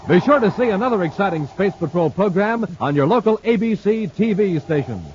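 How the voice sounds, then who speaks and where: A male radio announcer reading ads and promos in a booming, salesy, emphatic 1950s style. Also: expressive, fairly quick. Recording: muffled old radio transcription with faint hiss.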